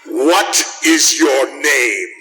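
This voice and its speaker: An actor playing a stiff, irritable, respectable householder in a radio drama, with a pompous and formal manner. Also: male voice, medium pitch, animated, extremely slow, bright, forceful tone, soft background music, heavily acted. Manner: pompous, formal, clipped disapproval. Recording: echoey hall with long reverb.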